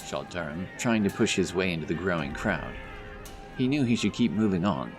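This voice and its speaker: A man's voice: sits low, lively intonation, normal speed. A man narrating a scripted fantasy audiobook as storyteller. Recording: narration over loud background music. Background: music, outdoor sound effects.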